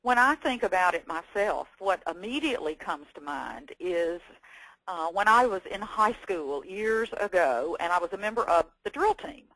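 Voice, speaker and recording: A woman's voice: fairly low pitch, lively intonation, normal speed, a bit bright, dry recording, poor audio. An older woman from Texas with a Southern accent, giving an educational webinar in a folksy, chatty way with self-deprecating humor. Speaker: webinar presenter, former teacher.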